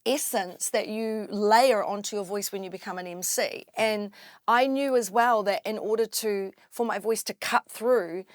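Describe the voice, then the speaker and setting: A young woman with a New Zealand accent and a low voice, an MC telling her story in a lively, animated way as a podcast guest. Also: unhurried, no echo, clean studio sound. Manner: lively, animated storyteller who acts out quotes. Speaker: drum and bass MC, guest. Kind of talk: casual interview storytelling.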